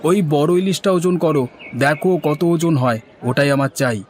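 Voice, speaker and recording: A man's voice: on the high side, moderate pace, natural voice. A man voicing an easygoing, food-loving young man in a cartoon folktale. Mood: businesslike. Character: easygoing young man who loves his aunt's cooking. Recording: music bed under the voice.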